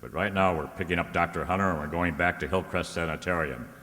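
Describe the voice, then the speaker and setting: Brief: male actor as sardonic, stubborn radio private eye, low and forceful, clipped delivery, recorded in a reverberant hall. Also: fast talker. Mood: brisk, businesslike. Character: wisecracking, stubborn, suspicious male private detective. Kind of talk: old-time radio detective drama acting. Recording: echoey hall, long reverb.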